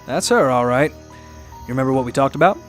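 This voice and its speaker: A man's voice: medium pitch, animated, a little fast, soft background music, lightly acted. An actor playing a suave, mysterious man in a radio drama, polite, wry and teasing as he talks. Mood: brisk, confident. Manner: polite, wry, smooth-talking.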